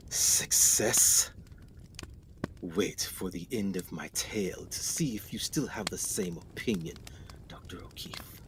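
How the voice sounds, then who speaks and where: A man's voice: low voice, slow, long pauses, background noise. A man playing an English explorer doctor in a radio play, theatrical, full of awe and haunted brooding. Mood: brooding, reflective. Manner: theatrical, breathless wonder, swings to hushed brooding.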